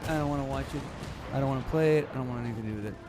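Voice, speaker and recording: A man's voice: mid-range voice, very fast, noisy background. A man giving casual live commentary as he streams a game, with a wry, sarcastic, grumbling manner.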